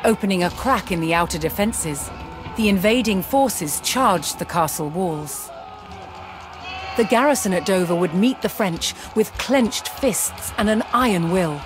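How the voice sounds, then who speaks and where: A woman reading scripted historical narration for a strategy game, telling the story in a measured, deliberate way. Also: medium pitch, lively intonation. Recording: studio voice-over with music underneath. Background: music.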